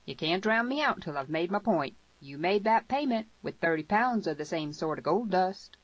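A woman's voice: on the low side, lively intonation, normal speed, dry recording, pitched above the performer's own voice. A woman voicing a tough, blunt old cowboy with folksy, emphatic speech in a western audiobook, on a dull recording. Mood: accusing. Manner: folksy rustic grammar, emphatic. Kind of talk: western audiobook character reading.

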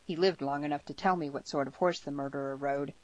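A woman voicing a grave, earnest young man in an audiobook, speaking gently and solemnly. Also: sits low, fairly even intonation, no echo, natural voice. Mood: haunted, solemn. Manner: slow, gentle, solemn, plain-spoken. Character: grave, earnest, gentle twenty-two-year-old man. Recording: slightly muffled lo-fi audio.

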